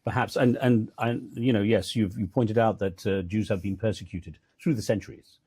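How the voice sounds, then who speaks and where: Male interviewer, husky, mellow, lowish, polite hedging questions. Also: steady tone, moderate pace, dry recording. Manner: hedging, polite challenges with fillers. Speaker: interviewer, host.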